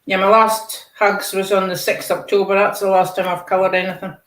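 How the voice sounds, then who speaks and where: An older woman chatting on a video call, speaking softly and trailing off at times, with a dry sense of humour. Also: medium pitch, little pitch movement, brisk, reverberant, poor audio. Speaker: participant in a live colouring call. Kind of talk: casual chat.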